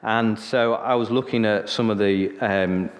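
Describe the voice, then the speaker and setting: A lowish-voiced man with a northern English accent tells his story from the pulpit, relaxed and conversational. He keeps tossing "okay?" out to the room. His voice rings with long reverb, as in a large hall. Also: unhurried. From Sheffield, northern England. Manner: chatty, storytelling, frequent "okay?" asides. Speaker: preacher. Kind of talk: sermon with personal testimony.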